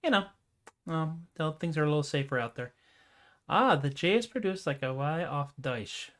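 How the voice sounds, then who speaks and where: A man hosting his own music livestream, chatting casually with self-deprecating jokes and trailing off into quiet asides. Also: fairly high pitch, expressive, normal speed. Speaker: host and singer-songwriter. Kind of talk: casual livestream chat after a song.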